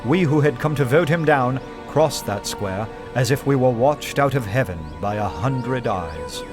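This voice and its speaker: A reflective detective narrates a radio play, played by a man. His medium voice is measured and literary, set over clear background music. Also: mid-range voice, lively intonation, lightly acted. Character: reflective male detective recounting his adventure. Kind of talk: scripted dramatic narration.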